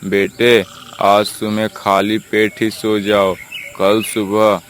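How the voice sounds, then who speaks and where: A man's voice: on the low side, little pitch movement, slow, a bit bright, slight background noise. A man voicing a poor, humble crow father with an earnest way of talking in a children's cartoon. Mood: weary, concerned. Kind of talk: Hindi children's cartoon story dialogue.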